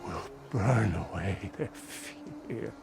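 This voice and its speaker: An actor playing a wounded, devout religious leader in a video game, speaking in halting, reverent proclamations. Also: male voice, lowish voice, expressive, creaky, full character voice. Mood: fervent hope. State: wounded, weak. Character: dying male religious leader, devout and feverishly hopeful. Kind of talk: video game cutscene acting. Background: music.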